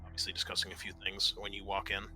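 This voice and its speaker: A man with a fairly low voice and a crisp, forward edge runs a tabletop game. He explains casually and haltingly, with faint music in the background. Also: monotone, pushed and bright. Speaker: game master.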